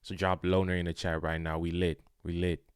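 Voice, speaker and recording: A man's voice: sits low, level tone, a little fast, smooth, no echo, studio quality. A young man with a South African accent, chatting loosely on his own livestream and hyping up his viewers. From Congolese, raised in South Africa. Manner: loose and talkative, hyping the chat. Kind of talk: casual livestream chat. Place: indoor livestream setup.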